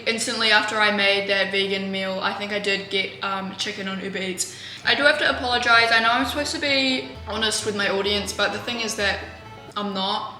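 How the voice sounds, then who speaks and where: A woman vlogging in a home kitchen, chatting in a rambling way with sarcastic, deadpan asides, recorded on a camera mic. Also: medium pitch. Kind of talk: casual vlog chat. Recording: roomy with music bed.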